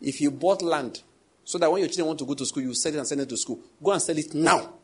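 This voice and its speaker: Husky pastor preaching emphatically. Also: male voice, a little high, quick pace. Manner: emphatic, repeats key phrases, asks the congregation questions. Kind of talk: sermon.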